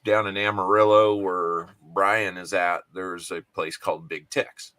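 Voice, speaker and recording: Co-host, forties, low voice, relaxed, chuckling casual chat. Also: male voice, a little slow. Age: 40s. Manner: easygoing storyteller, chuckles often.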